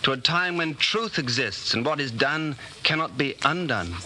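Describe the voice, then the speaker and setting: A man's voice: a little high, expressive, normal speed, sharp tone. A man in a 1940s radio drama playing a weary, fearful dissenter in a dystopia, earnest and brooding in his delivery. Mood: anguished, defiant. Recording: noisy 1940s radio broadcast recording.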